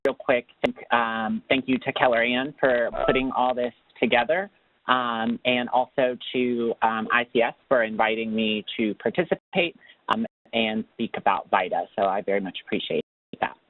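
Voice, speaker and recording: A man giving a semi-scripted informational webinar presentation, phrasing things formally and pausing as he goes. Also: mid-range voice. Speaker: nonprofit program manager as webinar speaker. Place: remote webinar call. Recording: dull lo-fi call audio, very dry.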